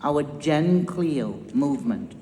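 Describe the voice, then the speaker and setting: A woman's voice: low voice, lively intonation, slow, dark tone. A woman from Trinidad with a Trinidadian accent, founder of a nonprofit giving an emphatic, rousing speech with wry humor. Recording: echoey hall with long reverb.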